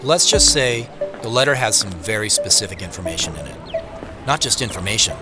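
A man's voice: on the low side, expressive, fairly quick, lightly acted. An actor playing a harried, self-conscious man in an audio drama, speaking in a wry, confiding way. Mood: wry, reflective. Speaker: narrator and lead actor. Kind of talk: first-person audio drama narration and acting. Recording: produced audio drama with music, street ambience.

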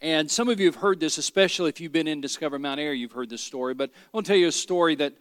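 A middle-aged pastor from Tennessee, preaching a sermon with folksy stories and rhetorical questions. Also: male voice, on the high side, rapid-fire, a bit bright, clear, resonant tone, no echo, big-room sound. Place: church sanctuary.

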